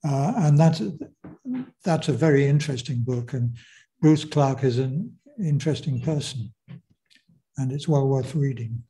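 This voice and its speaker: Soft-spoken male lecturer answering questions. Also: mid-range voice, slight background noise, poor audio. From Britain. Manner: hesitant, measured, many fillers.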